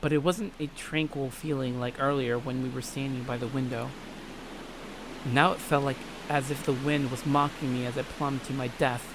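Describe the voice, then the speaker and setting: A man's voice: medium pitch, little pitch movement, normal speed, noisy background. A man hosting a let's play, reading a visual novel aloud in a dramatic way and swearing casually.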